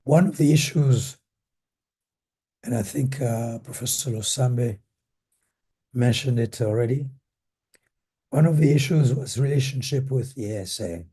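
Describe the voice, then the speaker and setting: Hoarse older man, slow and dark-toned. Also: medium pitch. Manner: measured, deliberate, halting. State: hoarse from a cold, losing voice. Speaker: panelist, retired professor and former association president. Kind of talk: reflective panel talk recalling history.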